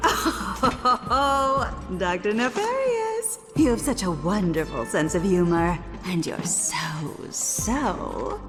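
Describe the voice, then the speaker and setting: A woman voicing a flirtatious, fawning villainess in a video game, full of drawn-out flattery. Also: mid-range voice. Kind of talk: animated game villain acting. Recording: long hall reverb, music underneath.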